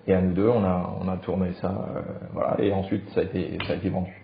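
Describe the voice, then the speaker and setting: A Frenchman in his forties, a podcast guest, telling his career story in relaxed conversation with frequent hesitations and restarts. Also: low voice, quick pace, gravelly, echoey room, highs cut off, slight background noise. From France. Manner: hesitant, many fillers and restarts, light chuckles.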